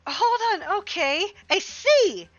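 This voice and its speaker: A woman streamer reacting casually and excitedly while she plays a game. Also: very high voice, takes it slowly, dry recording, muffled recording. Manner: excitable, exclaiming.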